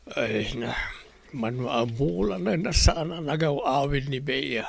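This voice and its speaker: A man's voice: medium pitch, slow, creaky, a little hiss or hum. An actor with an Ilocano Filipino accent playing a brash rural man in a radio drama. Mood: conversational.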